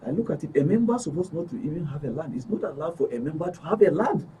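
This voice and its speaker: Very mellow Nigerian man, lively intonation. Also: a little high, fast. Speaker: studio guest. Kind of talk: conversational personal account. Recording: dull distant mic, faint background noise.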